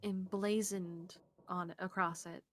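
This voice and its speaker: A woman's voice: fairly low pitch, level tone, slow. A woman streamer reading a visual novel's narration aloud, with casual asides. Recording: faint background noise.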